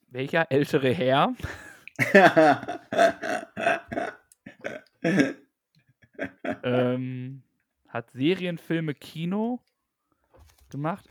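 A man's voice: fairly high pitch, dry recording, clean studio sound. A man co-hosting a podcast, playing a casual guessing game, teasing and laughing often. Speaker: podcast co-host.

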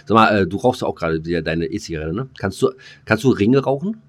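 A man's voice: fairly low pitch, lively intonation, fast. A man hosting a podcast in casual, joking banter, rambling colloquially and often repeating his words. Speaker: podcast host.

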